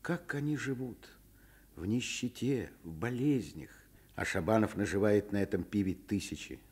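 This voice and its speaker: A man's voice: lowish voice, lively intonation, normal speed, a little mellow, slightly rough. An actor playing a principled, kindly doctor and father in a radio play, earnest and emphatic. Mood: scornful. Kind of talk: Soviet radio play acting.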